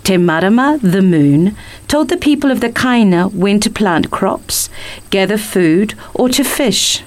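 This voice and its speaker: A woman's voice: mid-range voice, expressive, unhurried. A woman reading a picture book as scripted story narration.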